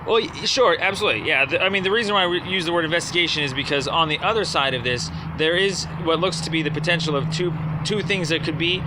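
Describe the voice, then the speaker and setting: A man's voice: fairly high pitch, background noise. A man calling in on the phone, explaining a complaint in a hesitant, rambling way. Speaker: caller. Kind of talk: phone conversation, arguing a complaint.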